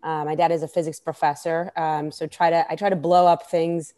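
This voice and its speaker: A woman's voice: deep voice, level tone, brisk, warm tone. A woman in her thirties from Israel answering conversationally as an interview guest on a video call, with frequent hesitant fillers. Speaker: interview guest, startup founder. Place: indoor room, video call. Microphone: earphone mic on video call.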